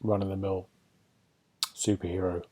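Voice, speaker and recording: A man's voice: low voice, level tone, moderate pace, warm tone. A man hosting a podcast, chatting casually about games and television in a rambling, enthusiastic way. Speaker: podcast host.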